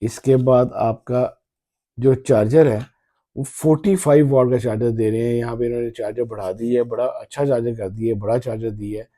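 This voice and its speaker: A man giving casual, halting commentary as a tech reviewer unboxing a phone, often repeating his phrases. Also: mid-range voice, fairly even intonation, dark tone.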